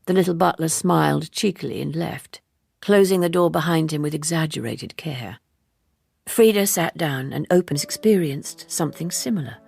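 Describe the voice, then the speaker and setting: A woman reading a literary novel as scripted narration, in a measured and precise manner. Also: deep voice, gravelly, a little mellow, breathy edge, dry recording, faint music behind. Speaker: audiobook narrator.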